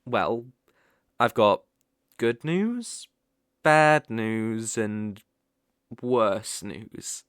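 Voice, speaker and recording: A British man playing a sly, possessive young male kitsune fox spirit in an ASMR roleplay, soft-spoken and coaxing with playful hesitations. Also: lowish voice, animated, drawn-out words, long pauses, smooth, no room sound, studio quality, full character voice. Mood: coaxing, explanatory. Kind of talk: ASMR roleplay, acted directly to the listener. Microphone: close microphone, dry.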